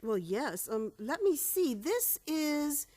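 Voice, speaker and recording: A kindly old aunt acted by a woman in a radio comedy, with a fairly high voice and a very lilting delivery. She is chatty and soothing, fusses over small details, and stays innocently placid. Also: big pitch swings, slow pace, darkish tone, full character voice. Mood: mildly fussy. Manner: chatty, soothing, mildly fussy. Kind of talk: stage comedy radio play acting.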